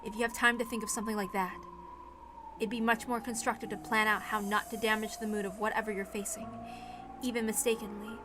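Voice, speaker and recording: A woman voicing a resigned, dispassionate woman in a story reading, speaking in a measured, calm way. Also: medium pitch, over music, lightly acted. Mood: grave advice.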